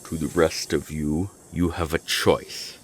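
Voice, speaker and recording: A man playing a menacing naga gang boss in an audio roleplay, gravelly and deliberate, with cold, taunting threats. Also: level tone, slight background noise. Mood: angry, commanding. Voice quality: very deep, gravelly. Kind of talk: audio roleplay drama acting.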